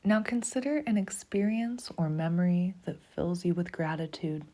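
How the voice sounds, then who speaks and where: Soft-spoken woman guiding a meditation. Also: fairly low pitch. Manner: soft, breathy, half-whispered delivery; slow, soothing, even pacing. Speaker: meditation guide. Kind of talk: guided meditation.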